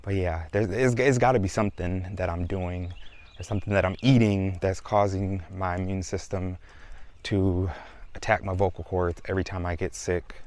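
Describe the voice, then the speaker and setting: A man's voice: low voice, normal speed. Chatty man vlogging. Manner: chatty, relaxed, addresses viewers as y'all. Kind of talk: casual vlog talk to camera.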